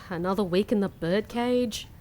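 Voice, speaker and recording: A woman's voice: mid-range voice, measured pace, a little mellow, a little hiss or hum, natural voice. An actress playing a sarcastic, defiant young woman in a fantasy comedy audio drama, wry and outspoken. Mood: taken aback.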